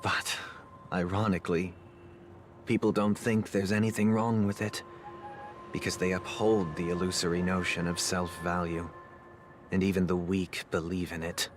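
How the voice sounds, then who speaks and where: Man playing a composed, low-voiced philosophical gentleman leader. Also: level tone, close to an everyday voice. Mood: grave, persuasive. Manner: measured, polite, lecturing. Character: composed, courteous, philosophical male dream-city leader. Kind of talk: dramatic video game voice acting. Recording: music playing behind the voice.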